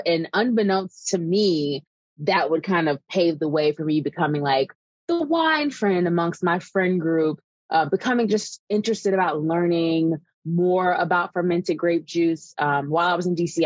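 A woman's voice: fairly low pitch, no room sound. Chatty woman on dull dry audio. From United States. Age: 30s. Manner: chatty, storytelling with many "like" and "um". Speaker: guest, wine writer. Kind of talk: casual podcast interview.

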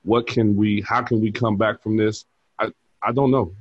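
A man's voice: on the low side, steady tone, moderate pace, husky, no echo, dull audio. A man in his forties, a bar manager, chatting casually as an interview guest and telling stories with frequent laughs. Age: 40s. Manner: easygoing storyteller who laughs often.

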